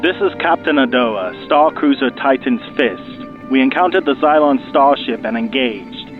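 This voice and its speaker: A man's voice: medium pitch, lively intonation, a little slow. A man playing a stoic starship captain in a sci-fi audio drama, giving a clipped, formal military report over a radio transmission. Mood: grim, businesslike. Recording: dull radio transmission, music behind.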